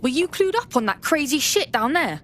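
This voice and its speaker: A blunt, streetwise woman operative with a British accent talks in slang in a game cutscene. Her medium voice moves a lot in pitch, with faint noise behind it. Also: big pitch swings, moderate pace, fairly bright tone. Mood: shocked. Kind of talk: cinematic video game acting.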